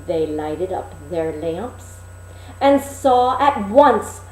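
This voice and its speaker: A woman's voice: mid-range voice, lively intonation, extremely slow, a little mellow. A woman reading a fairy tale aloud expressively as a storyteller. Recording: echoey room.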